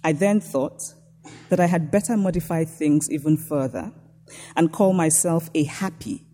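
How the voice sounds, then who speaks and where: A Nigerian woman lecturing in a measured, reflective way. Her voice is mellow and a little husky. It carries the long reverb of a large hall. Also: low-pitched. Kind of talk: reading a prepared lecture.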